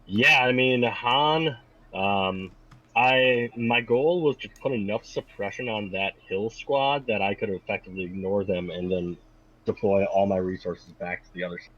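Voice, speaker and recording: A man's voice: lowish voice, normal speed, a bit bright. A male player explaining his tactics in a post-game discussion, thoughtful and explanatory. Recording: muffled, husky.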